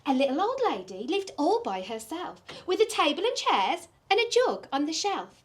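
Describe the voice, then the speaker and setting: A woman's voice: extremely high pitch, sing-song, moderate pace. A middle-aged woman, reading a bedtime story expressively for young children. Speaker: primary school storyteller.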